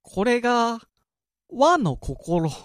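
A man's voice: very high-pitched, sing-song, drawn-out words, no room sound, studio quality, exaggerated voice. A man playing an overworked, exasperated younger office worker in a radio drama, complaining in casual but polite speech. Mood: weary. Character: exasperated overworked married salaryman, junior colleague.

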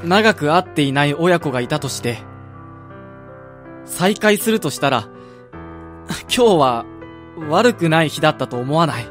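A proud young athlete in a radio play, his voice pitched slightly high. He speaks frankly and with feeling as a wounded son, with faint music underneath. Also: animated, unhurried, long pauses, fairly bright tone. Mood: hopeful, forgiving. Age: young adult. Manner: frank, emotional, direct. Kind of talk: radio drama acting.

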